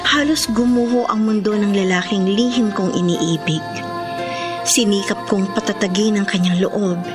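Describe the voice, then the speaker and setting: A woman's voice: mid-range voice, natural voice. An actress with a Filipino accent playing a lovelorn woman, earnestly and sorrowfully narrating her letter for a radio drama. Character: lovelorn woman confessing secret love in a letter.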